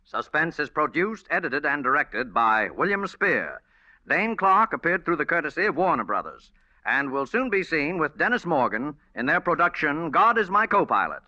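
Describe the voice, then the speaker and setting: A man announcing in a formal, dramatic and deliberate 1940s radio style. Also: a little high, big pitch swings, pushed and bright, dry recording. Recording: dull vintage radio broadcast.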